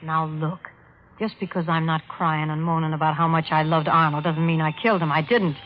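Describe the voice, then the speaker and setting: An actress playing a brash, unsentimental gold-digging widow in an old radio crime drama, blunt and slangy. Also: female voice, low voice, fairly even intonation, brisk, close to an everyday voice. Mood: irritated, defensive. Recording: muffled old-time radio recording.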